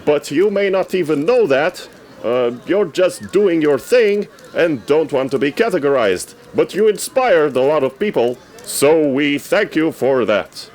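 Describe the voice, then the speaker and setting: Man playing an eager, theatrical radio correspondent, swinging pitch. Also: lively intonation, measured pace, darkish tone. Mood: earnest. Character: eager, easily snubbed male radio correspondent on the red carpet. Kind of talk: scripted comedic red carpet reporting.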